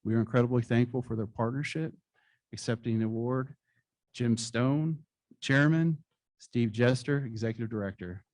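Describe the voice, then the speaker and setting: Male awards presenter at a podium, measured formal delivery, average pitch, dry close sound. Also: darkish tone, dry recording, poor audio. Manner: formal, measured reading with occasional slips. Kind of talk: reading award citations at a conference. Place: conference hall stage.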